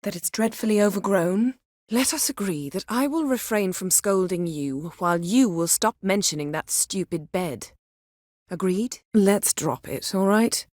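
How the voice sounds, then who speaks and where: A woman playing a haughty, sharp-tongued sorceress in a video game, speaking formally and imperiously with cutting poise. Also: medium pitch, lively intonation, unhurried, no echo, studio quality. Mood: wry, haughty. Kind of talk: video game character acting.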